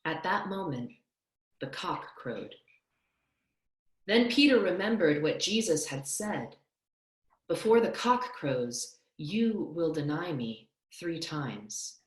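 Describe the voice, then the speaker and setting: A young woman reads scripture as a solemn narrator. Her voice is quite low and her pace very unhurried. The sound is a roomy video call from home. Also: big pitch swings. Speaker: clergywoman, narrator of a scripture reading. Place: small home room, indoors. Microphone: video call, computer microphone.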